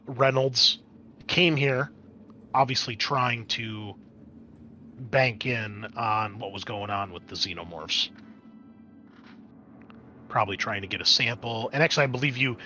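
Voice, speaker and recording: Bright-voiced man running a tabletop game. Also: on the low side. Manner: explanatory, conversational. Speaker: game master. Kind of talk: narrating a tabletop roleplaying game.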